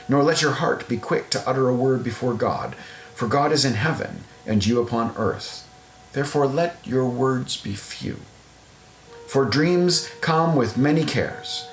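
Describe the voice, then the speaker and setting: Guy reading bible audiobook, solemn and measured, mid pitch, echoey room w music under it. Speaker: audiobook narrator. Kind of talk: scripted scripture reading. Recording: roomy echo, music underneath.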